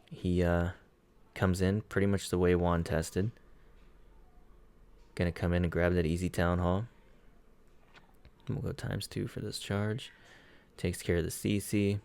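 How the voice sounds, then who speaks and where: A man giving a relaxed, conversational breakdown of game attacks as he watches them play, his voice hoarse and going out. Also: deep voice. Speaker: clan player reviewing war attacks. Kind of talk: casual gameplay commentary.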